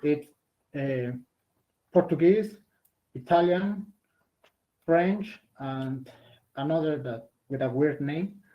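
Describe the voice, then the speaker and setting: A man with a Mexican Spanish accent speaks English on a video call, pausing often and searching for his words. His voice sits a little high for a man. The audio is dull and lo-fi. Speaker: student presenter. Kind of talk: answering a question on a video call.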